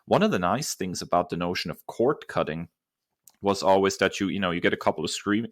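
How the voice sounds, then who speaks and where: A man with a deep voice speaks as a podcast co-host. The sound is dry, with very little room in it. He talks in a casual, conversational way, stressing his opinions. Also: a bit bright, no echo.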